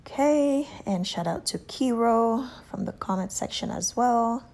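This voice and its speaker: Slow-talking Haitian-accented woman vlogger. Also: fairly high pitch, expressive, darkish tone. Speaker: beauty channel host. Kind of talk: casual vlog shout-outs.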